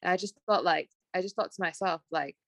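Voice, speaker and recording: A woman's voice: lowish voice, fast talker, a bit bright, dry recording. A young woman from Malaysia with a mixed Malaysian, British and American accent, chatting as an interview guest and rambling with many fillers and restarts. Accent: Malaysian with British and American traces. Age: young adult. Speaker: guest, fashion graduate. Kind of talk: casual podcast interview.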